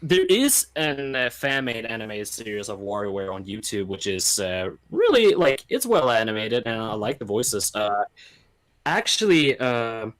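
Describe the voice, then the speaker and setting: A man speaking as a guest in a relaxed, informal podcast chat about games. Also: on the low side, measured pace.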